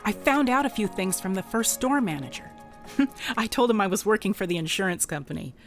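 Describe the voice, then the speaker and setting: A woman's voice: medium pitch, animated, a little fast, faint music behind, close to an everyday voice. A woman playing a plain-spoken, wry private investigator in a radio drama, informal in manner. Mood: businesslike. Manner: sardonic, informal. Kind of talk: contemporary radio drama acting.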